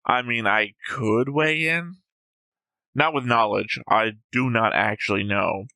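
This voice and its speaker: Bright-voiced man reading his notes. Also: medium pitch, a little slow, no room sound. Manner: methodical, reads out notes, careful about spoilers. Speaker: reaction show host. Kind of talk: casual discussion reading notes.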